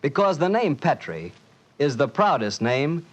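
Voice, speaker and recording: A man's voice: a little high, big pitch swings, measured pace, lo-fi sound, heavy background noise. A man with an American accent announcing in a folksy, salesmanlike 1940s radio style.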